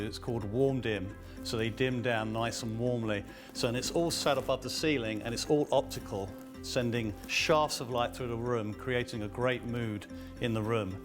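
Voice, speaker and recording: A man who works as a lighting designer, explaining his products in an interview. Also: mid-range voice. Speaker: lighting designer and business owner.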